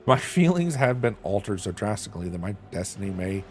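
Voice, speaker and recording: Low-voiced man streaming a game. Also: sing-song, fairly quick, darkish tone, slight background noise. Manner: chatty, self-mocking, trails off with fillers. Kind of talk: reading game dialogue aloud and casual stream chat.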